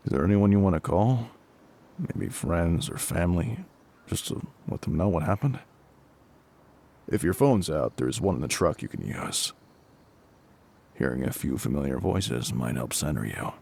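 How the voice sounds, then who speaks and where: A male actor plays a kindly, gruff fire captain in middle age, speaking close and reassuringly in an audio roleplay. The voice is husky and heavily gravelled, with lively rises and falls. He can turn a little awkward and hesitant, yet stays protective. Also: extremely low pitch, moderate pace, pauses often, heavily acted. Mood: kindly, wry. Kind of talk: intimate audio roleplay acting.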